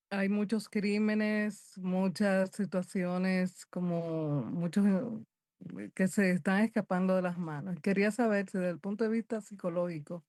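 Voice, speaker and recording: A woman's voice: on the low side, a little mellow, no room sound. Female TV host, chatty and playful, dry close sound, rambles. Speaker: talk show host. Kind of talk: casual chat on TV. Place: TV studio.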